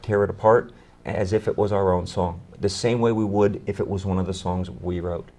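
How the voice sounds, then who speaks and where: A man with a low voice talks eagerly about his tribute band, the bass player of the group. He often repeats words as he gets going, and his enthusiasm carries through. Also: steady tone, a little fast, mellow. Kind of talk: interview conversation.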